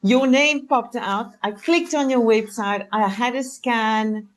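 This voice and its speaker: A woman's voice: fairly high pitch, slow pace. A South African woman whose first language is Afrikaans, chatting as a coach guest on a video-call interview and laughing often. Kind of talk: casual interview chat.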